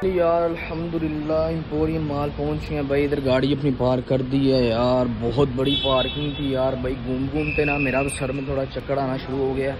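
A man's voice: medium pitch, level tone, fairly quick, few pauses, noisy background. A young man vlogging to a phone camera in a shopping mall, casual, joking and a little boastful. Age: young adult. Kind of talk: casual vlog chat to camera. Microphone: phone camera at arm's length.

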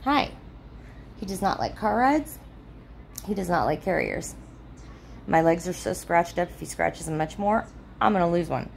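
A woman's voice: on the low side, expressive, normal speed, gravelly, pauses often, darkish tone, slight background noise. A woman in her thirties chatting casually to her followers from a motel room, rambling with many ums and restarts, recorded on a phone at arm's length. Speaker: vlogger. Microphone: phone held at arm's length.

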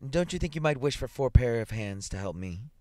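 A man's voice: mid-range voice, animated, fairly quick, warm tone, no echo, lightly acted. A man voicing a homely, inquisitive old mother in a story, with a wry, questioning manner.

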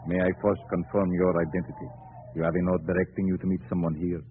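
An actor playing a domineering scientist with a precise, grave, formal manner in a 1940s radio drama. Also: male voice, low-pitched, level tone, fast talker, natural voice. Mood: courteous, guarded. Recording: tinny 1940 radio broadcast, faint noise.

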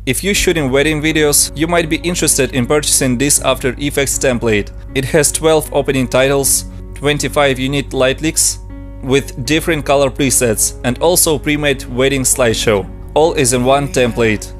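A young adult man from Ukraine with a Ukrainian accent, giving brisk step-by-step narration for a software tutorial. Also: medium pitch. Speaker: motion design tutorial host.

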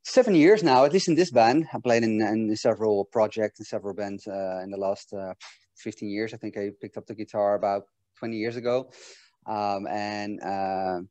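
A man's voice: lowish voice, moderate pace, no room sound. A man in his forties from the Netherlands with a Dutch accent, chatting casually as a guest on a video call from home, with plenty of ums and restarts. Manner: relaxed, many fillers and restarts. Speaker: guest author. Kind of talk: casual interview chat over video call. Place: home room.

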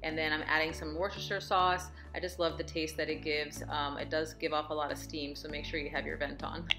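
Chatty woman in her thirties, lowish voice. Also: level tone, a little fast, music in the background. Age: 30s. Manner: upbeat, encouraging, chatty. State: out of breath after a workout. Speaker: fitness and meal prep vlogger. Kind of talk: casual vlog narration. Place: home living room and kitchen.

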